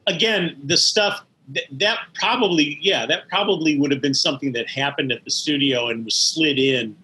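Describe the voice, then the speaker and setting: An older American man recalling his work as an interview guest, in a halting way that circles back over words. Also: a little high, pushed and bright, low-quality recording. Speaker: interview guest, animation studio veteran. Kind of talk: conversational interview recollection.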